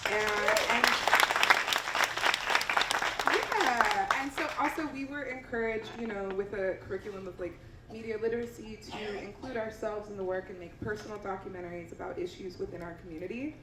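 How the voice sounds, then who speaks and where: A woman's voice: mid-range voice, normal speed, a loud crowd. A chatty woman in her thirties, from the Bay Area, talks on a live stage. She thanks people and restarts her sentences often. Her voice comes from a distance across a roomy hall, with an audience murmuring behind her. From San Francisco Bay Area. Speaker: filmmaker on a Q&A panel. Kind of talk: live panel talk. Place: theater stage before an audience. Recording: echoey hall, audience noise, far mic.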